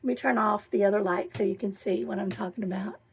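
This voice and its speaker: A middle-aged woman with a hoarse voice, chatting casually as she gives a tour of her camper van. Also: medium pitch, steady tone. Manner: chatty and enthusiastic, pausing while showing things. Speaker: vlogger. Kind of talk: casual home tour vlog. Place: inside a small camper van.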